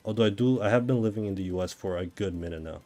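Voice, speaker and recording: A man's voice: on the low side, fast talker, dark tone, faint music behind. A man from Brazil with a Brazilian accent, chatting casually and thinking aloud in a rambling way as he streams a game. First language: Portuguese. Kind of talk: casual chat while gaming.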